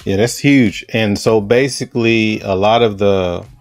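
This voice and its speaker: Deep-voiced man, loose rambling host. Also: unhurried, soft background music. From United States. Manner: relaxed, rambling with fillers and restarts. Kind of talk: casual interview chat.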